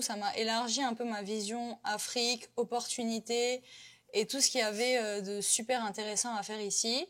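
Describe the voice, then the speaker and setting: Young ivorian woman telling her story in an interview, loose and chatty, lots of euh. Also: a little high. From Côte d'Ivoire. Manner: chatty, many fillers and restarts. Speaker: interview guest. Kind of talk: casual interview chat.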